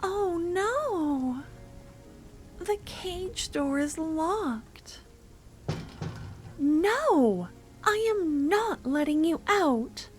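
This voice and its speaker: Woman as a jealous, clingy shopkeeper for an ASMR roleplay: high, slow, soft-spoken, faint music behind. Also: expressive. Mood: possessive, upset. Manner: soft-spoken, sweetly teasing, turning possessive. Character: possessive female merchant, jealous yandere.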